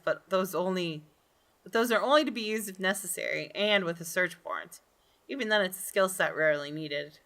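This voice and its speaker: A woman's voice: lowish voice, bright, forceful tone. A woman hosting a gaming video, reading visual novel text aloud and reacting expressively.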